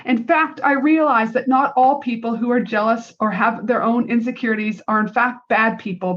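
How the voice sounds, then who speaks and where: A Canadian-accented woman with a fairly high voice hosts a motivational business podcast on her own. She speaks bluntly and emphatically, in casual, punchy language. The recording sounds slightly dull and lo-fi. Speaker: podcast host.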